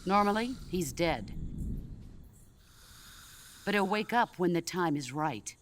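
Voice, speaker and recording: An actress playing a stoic veteran female soldier who speaks in a calm, measured, grave way over game music. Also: on the low side, a little hiss or hum. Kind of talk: video game cutscene acting. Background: game score music.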